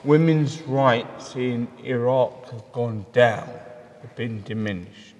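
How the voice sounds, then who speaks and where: Man, panel chair, very slow measured delivery, long church reverb. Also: mid-range voice, a little mellow, muffled recording. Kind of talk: moderating a panel. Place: large church hall.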